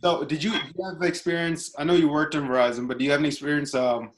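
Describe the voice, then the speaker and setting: A man's voice: a little high, fairly even intonation, fast. A man chatting casually as an interviewer, a little hesitant as he searches for words. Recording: faint background noise.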